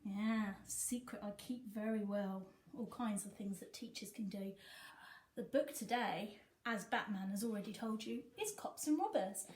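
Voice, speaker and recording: A woman teacher reading a picture book aloud to young children, with animated, sing-song emphasis. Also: medium pitch, unhurried. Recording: echoey room.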